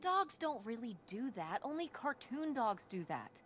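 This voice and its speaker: A curious teenage daughter in a narrative video game. Her voice sits fairly high and sounds natural, with a playful, dryly theatrical wit. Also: sing-song, measured pace, a bit bright, soft background music. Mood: playful, wry. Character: curious, wry teenage daughter exploring family secrets.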